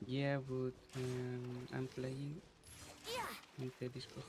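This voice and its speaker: A woman on a voice call who talks in a halting, hesitant way with broken phrasing. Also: very deep voice, completely flat delivery, over music. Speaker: friend on voice call.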